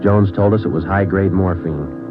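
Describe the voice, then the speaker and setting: An actor playing a terse, businesslike police detective with an American accent, narrating and talking in a clipped, deadpan way in 1940s radio crime drama style. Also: male voice, deep voice, moderate pace, lightly acted. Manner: clipped, matter-of-fact, deadpan. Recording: muffled vintage radio recording with music.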